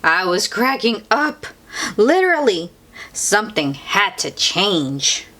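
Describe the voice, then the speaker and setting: A woman reads a picture book aloud to children in a theatrical storyteller's style. Her pitch moves around a lot, and she takes her time, stretching key words for emphasis. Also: sing-song, sharp tone. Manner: theatrical, deliberate phrasing with drawn-out emphasis.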